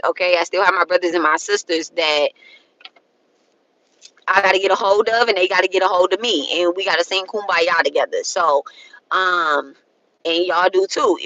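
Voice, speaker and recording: Woman, 30s, bright and close-miked, dry sound; rambling casual spiritual talk, lots of "okay?" and blunt swearing. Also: medium pitch, no echo, poor audio. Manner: rambling, repeats herself, adds "okay?" often; frank and profane, colloquial phrasing. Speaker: spiritual content creator.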